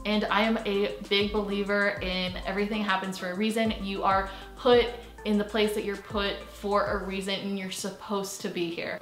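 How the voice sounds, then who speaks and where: A woman's voice: medium pitch, fairly bright tone. A young woman talking casually and candidly to the camera from her bedroom, rambling with frequent likes and ums. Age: young adult. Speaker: vlogger. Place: bedroom indoors. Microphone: camera at medium distance. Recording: roomy bedroom echo.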